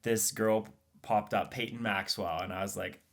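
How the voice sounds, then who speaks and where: A man's voice: lowish voice, fairly even intonation, moderate pace. A man from Romania hosting a conversational podcast interview, repeating phrases for emphasis and adding affirming interjections. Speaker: podcast host.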